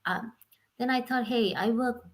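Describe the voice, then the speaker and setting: Slow-talking woman on a video call. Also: a little high. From Sri Lanka. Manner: unhurried, many "um"s, laughs often. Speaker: guest. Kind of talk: casual video call interview.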